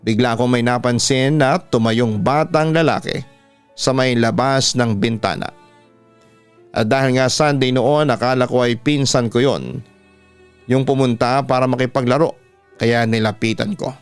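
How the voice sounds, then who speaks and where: A man's voice: medium pitch, unhurried, pauses often, clean tone. A man with a Filipino accent reading a scripted horror story as a radio announcer would, over background music. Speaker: radio announcer and host reading listeners' stories. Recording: instrumental music bed behind a dry voice.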